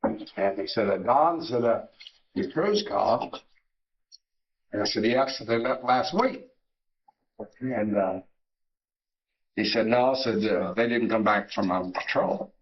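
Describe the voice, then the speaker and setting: An older man, a Navy veteran, reminiscing in an interview, halting with many fillers and restarts. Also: fairly low pitch, muffled recording.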